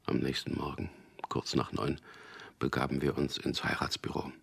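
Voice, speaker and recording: An actor playing a dry, guarded middle-aged official who narrates a radio drama in an understated, gravelly way. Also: male voice, rumbling low, flat delivery, normal speed, a bit bright, in a whisper, heavily acted. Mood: sober narrating. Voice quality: gravelly, husky. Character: Peter, dry, guarded propaganda official about to marry. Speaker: narrator and lead character.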